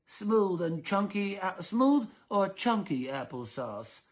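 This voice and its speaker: A man voicing a dull, easily alarmed storybook father in a slow, measured way. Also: high voice, very animated, voice pushed up, full character voice. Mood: wary.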